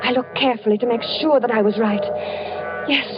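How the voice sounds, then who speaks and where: A woman's voice: a little high, a little fast, close to an everyday voice. Earnest, frightened wife-narrator, played by a woman; muffled vintage radio sound with music underneath. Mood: somber. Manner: earnest, anxious storytelling. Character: frightened wife narrating her husband's death. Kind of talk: 1940s radio acting.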